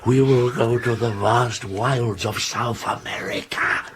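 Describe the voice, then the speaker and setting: An actor playing Frankenstein's monster, a lonely, bitter, deformed creature speaking with eloquent, brooding menace in a radio drama. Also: male voice, mid-range voice, fairly even intonation, a bit bright, a little hiss or hum. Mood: earnest, pleading. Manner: theatrical, deliberate, brooding delivery.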